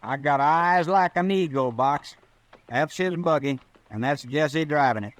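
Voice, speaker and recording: A naive, anxious young cowboy played by a man in an old-time radio western. His voice sits fairly high, with a folksy drawl and a questioning manner. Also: unhurried, natural voice. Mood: puzzled.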